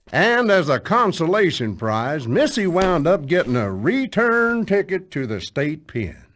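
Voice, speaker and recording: An actor playing a wry, folksy country storyteller with an American Southern accent, narrating a scripted tale. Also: male voice, on the high side, a little hiss or hum.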